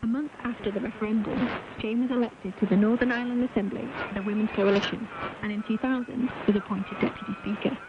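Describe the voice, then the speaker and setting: A woman's voice: a little high, fairly even intonation, fast. A woman presenting a radio documentary with scripted broadcast narration. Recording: noisy, muffled shortwave radio reception.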